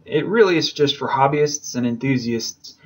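Male instructor, medium pitch, casual and keen, explaining in an informal lecture style. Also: animated, moderate pace. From southern United States. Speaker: beekeeping instructor. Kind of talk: informal lecture over slides.

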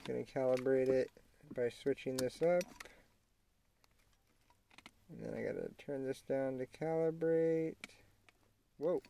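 Male how-to demonstrator, gravelly fry, casual and halting delivery, slightly noisy handheld recording. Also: mid-range voice, mellow. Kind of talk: casual hands-on tutorial narration. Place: inside a parked vehicle. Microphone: handheld camera mic. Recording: clearly noisy amateur camera audio, clicks.

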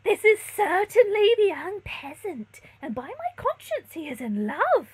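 A woman voicing a frightened, excitable young maidservant in a gothic novel, melodramatic and full of exclamation. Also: very high-pitched, unhurried, pitched above the performer's own voice, heavily acted, poor audio. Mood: gushing, excited. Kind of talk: gothic novel read-aloud.

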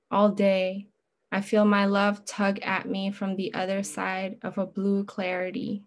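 A woman in her thirties reading poetry in a solemn, measured way on a video call from home. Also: medium pitch. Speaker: poet. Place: book-lined room at home.

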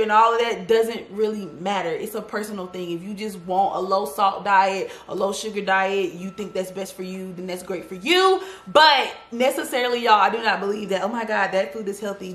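A woman's voice: medium pitch, fairly bright tone. Chatty woman vlogging from an echoey kitchen. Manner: chatty, sassy, addresses viewers as y'all and baby. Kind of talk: casual grocery haul chat. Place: home kitchen.

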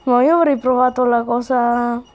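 A woman's voice: on the high side, steady tone, normal speed, a little mellow. A woman chatting casually through a game as a video host, reading on-screen text aloud as she comments.

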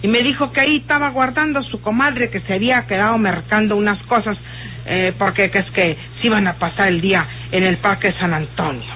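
A woman's voice: mid-range voice, brisk, slight background noise, lightly acted. An actress playing a jealous, rustic woman confiding suspicions in a folksy, hesitant radio drama manner. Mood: jealous, suspicious. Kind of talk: old radio drama acting. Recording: muffled tinny old radio recording.

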